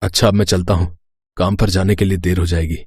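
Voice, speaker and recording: A man voices a hard-up working husband in a cartoon story. The voice is deep and husky, the delivery curt, and the sound very dry. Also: flat delivery, brisk, darkish tone, no room sound. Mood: weary, curt. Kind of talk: Hindi cartoon story acting.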